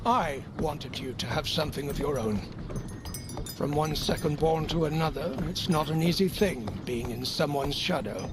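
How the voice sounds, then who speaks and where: A man playing a loyal, older noble uncle in an audio game, speaking in a measured, dryly honest, reassuring way. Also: fairly high pitch, noisy background, close to an everyday voice. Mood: gentle, dry. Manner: measured, reassuring, dry humour. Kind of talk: audio game drama acting. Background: horse hooves and outdoor ambience.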